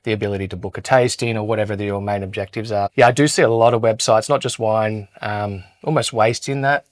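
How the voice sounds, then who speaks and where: A man with a fairly low voice talks casually and often restarts his sentences. The sound is dry and close to the mic. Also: fast, no room sound. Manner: relaxed, with repeated restarts. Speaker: co-founder, e-commerce lead. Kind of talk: casual podcast chat.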